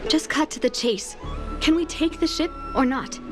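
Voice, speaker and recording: A woman acts out an ailing fugitive in love, her voice high and full of sorrow. She pleads earnestly, in the style of a dramatic video game. Music plays prominently behind her. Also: normal speed, natural voice. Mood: urgent.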